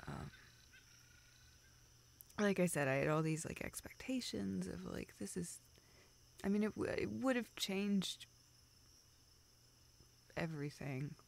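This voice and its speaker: A young woman playing a self-deprecating, vulnerable friend in an intimate audio roleplay, speaking in halting, self-interrupting dialogue. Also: fairly low pitch, lively intonation, creaky, a little hiss or hum, full character voice. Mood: subdued, dejected. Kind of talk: intimate, naturalistic one-sided dialogue.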